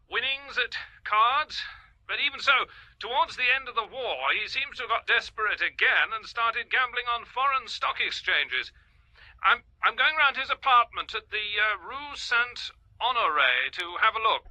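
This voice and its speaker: An earnest British police inspector in a radio play. His very high voice is sharp and forceful, closely recorded, with a hesitant, flustered manner. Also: animated, moderate pace, no echo, full character voice, rough recording. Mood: earnest, reporting. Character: earnest, slightly flustered male police inspector reporting by telephone. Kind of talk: British radio drama acting.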